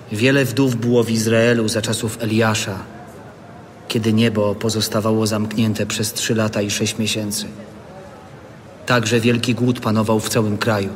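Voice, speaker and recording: An actor playing Jesus as a grave, calmly authoritative preacher in a biblical radio drama, solemn and measured. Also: male voice, lowish voice, a little hiss or hum, close to an everyday voice. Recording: long reverb, audible background ambience.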